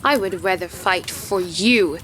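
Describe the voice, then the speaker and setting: A woman playing a devoted, resolute consort in a fantasy audio drama, speaking in an earnest, measured way. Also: fairly low pitch, a bit bright, a little hiss or hum. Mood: earnest, grave.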